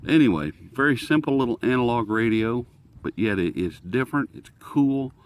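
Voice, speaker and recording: A man with an American accent giving a casual, unscripted product review, halting and thinking aloud as he goes. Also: mid-range voice, lively intonation, no echo. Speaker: host of a radio review video. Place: outdoors.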